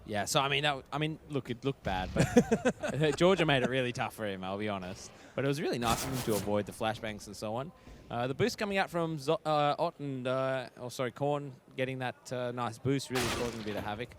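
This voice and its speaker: A man's voice: medium pitch, expressive, brisk, hardly any pauses, noisy background. A man giving joking, analytical color commentary on an esports match.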